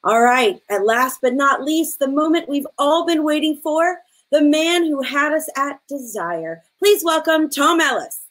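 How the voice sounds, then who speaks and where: A woman with a high, bright-pitched voice reads upbeat event announcements. She speaks over a video call from a room at home. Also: unhurried, hardly any pauses. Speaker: event host. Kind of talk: scripted announcement.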